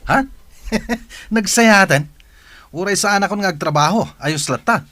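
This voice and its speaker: A man's voice: sits high, measured pace, pushed and bright, dry recording. A man playing a lazy, cocky young Ilocano village boyfriend and freeloader in a radio drama. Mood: gleeful, cocky. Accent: Filipino, Ilocano.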